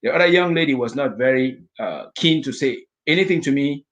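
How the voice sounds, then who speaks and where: A middle-aged man from Africa telling a vivid personal story as a guest speaker, acting out his own inner thoughts in lively asides. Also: medium pitch, a little fast. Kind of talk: storytelling talk.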